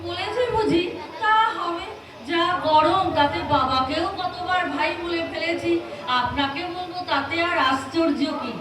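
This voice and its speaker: A woman acting a naive, chatty young bride in a comedy audio play, speaking Kolkata Bengali in an unhurried, guileless way. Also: extremely high pitch, a crowd in the background. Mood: naive, protesting. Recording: very echoey, distant, clearly noisy.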